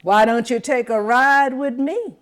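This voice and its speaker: A woman voicing a sly male buzzard who plays the polite gentleman to trick his prey. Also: a little high, measured pace. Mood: oily. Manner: smooth, courtly and sneaky.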